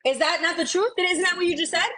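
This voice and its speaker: An American woman in a heated livestream debate, loud and repeating herself as she talks over the other speakers. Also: extremely high pitch, quick pace. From United States. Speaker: livestream guest.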